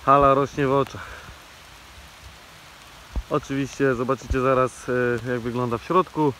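A man's voice: mid-range voice, moderate pace, slight background noise, poor audio. Chatty man filming a rainy site tour. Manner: relaxed, chatty, frequent filler sounds. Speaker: vlogger. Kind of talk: casual walk-through vlog narration. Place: outdoors on a rainy rural building site. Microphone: handheld phone camera.

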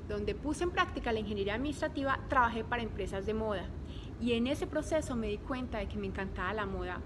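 A woman's voice: on the high side, background noise. Woman telling her story to camera. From Colombia. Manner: warm, flowing, addresses viewers directly. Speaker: image consultant and online course creator. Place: living room at home, indoors.

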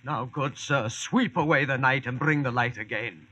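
A man's voice: mid-range voice, normal speed, pushed and bright, slightly rough, lo-fi sound. An actor playing a grandiose medieval king, declaiming in archaic speech in an old radio drama. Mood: pleading. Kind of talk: 1940s radio acting. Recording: noisy vintage radio, crowd behind.

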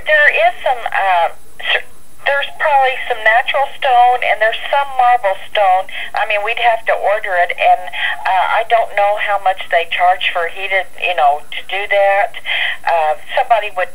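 A woman working at a shop, answering a customer over the telephone in an unsure, halting way. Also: mid-range voice, fairly bright tone. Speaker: flooring shop employee. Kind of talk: customer service phone call. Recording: phone call played over speaker.

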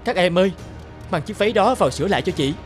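A woman playing a busy, impatient young fashion designer in a cartoon, talking in a brisk, curt way. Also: fairly low pitch, animated, faint music behind, natural voice. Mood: tense. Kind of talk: cartoon dialogue acting.

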